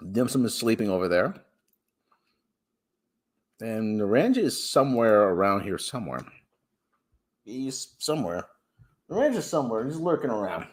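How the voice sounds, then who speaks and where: A man's voice: moderate pace, pauses often. Guy hosting a livestream podcast, chatty, voice jumps all over. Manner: lively, chatty, talks baby talk to his cat in a high voice. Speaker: podcast host. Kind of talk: casual live-stream chat.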